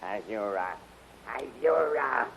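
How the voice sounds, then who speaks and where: An actor playing a villainous, commanding rebel general in a 1930s radio serial, pompous and deliberate, on a hissy old recording. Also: male voice, medium pitch, expressive, vocal fry, fairly bright tone, muffled recording, exaggerated voice. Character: villainous rebel general, commanding, vengeful, scheming grown man.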